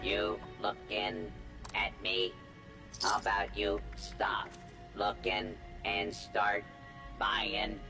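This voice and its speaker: A man voicing a deadpan, faintly menacing robot vendor in a video game, hawking looted goods. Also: sits low, drawn-out words, gravelly, music in the background, heavily acted, rough recording. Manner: flat, deadpan sales patter. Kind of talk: video game character voice acting.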